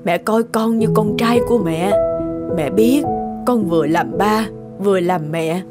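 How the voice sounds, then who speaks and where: Woman acting gentle old grandmother over loud music. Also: medium pitch, a little slow, lightly acted. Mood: gentle, consoling. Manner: gentle, consoling. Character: kindly, forgiving old grandmother. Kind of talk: animated family drama acting.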